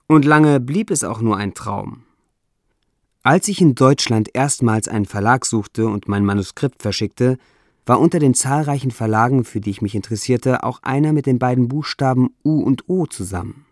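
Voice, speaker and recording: A man with a non-native German accent that struggles with umlauts, reading his own essay in a measured, deliberate way with dry, self-ironic humor. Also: mid-range voice, dry recording. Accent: non-native German, trouble with umlauts.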